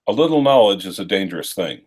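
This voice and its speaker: A man's voice: low voice, very animated, fast talker, breathy edge. Man turning fifty-one, dry humor. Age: 50s. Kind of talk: casual live-stream chat.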